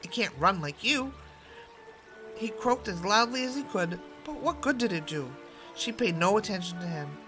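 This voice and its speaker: A woman storyteller giving an expressive, scripted narration of a fairy tale. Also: medium pitch. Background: music.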